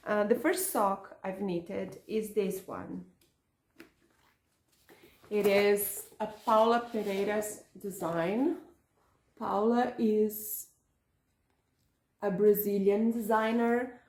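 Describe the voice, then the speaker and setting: A woman from Portugal, a native Portuguese speaker with a Portuguese accent, chatting about knitting in a casual craft vlog, halting and restarting words as she searches for English. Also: medium pitch, steady tone, a little mellow, echoey room, a little hiss or hum. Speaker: knitting podcaster and yarn shop owner.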